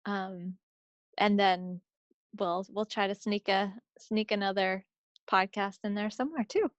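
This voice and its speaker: A woman's voice: medium pitch, a little slow, no room sound. A woman chatting casually as a friendly podcast co-host, speaking unscripted on slightly lo-fi audio. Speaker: co-host of a knitting and crafts podcast.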